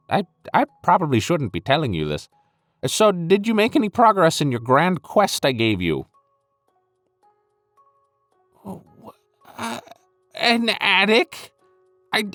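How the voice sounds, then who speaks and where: A man voices a smug, flippant mastermind of a secret cabal, his pitch swinging up and down widely. He stammers when flustered and brushes people off in a conspiratorial way. The comic roleplay is recorded very dry, with faint music behind. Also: fairly bright tone, dry recording, full character voice. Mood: scolding. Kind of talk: comic audio roleplay monologue.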